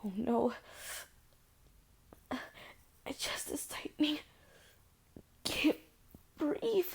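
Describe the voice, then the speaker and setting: A young woman voicing a fragile girlfriend with asthma in an audio roleplay, talking softly in a half-whispered, pleading way. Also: sits high, sing-song, extremely slow, vocal fry, exaggerated voice. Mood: worried pleading. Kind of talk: audio roleplay, spoken to the listener.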